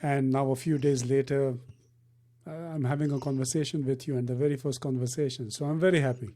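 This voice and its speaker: A man's voice: mid-range voice, steady tone, fairly quick, warm tone. A man hosting an interview, chatty and friendly, asking questions with many fillers. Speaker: interview host. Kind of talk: casual interview.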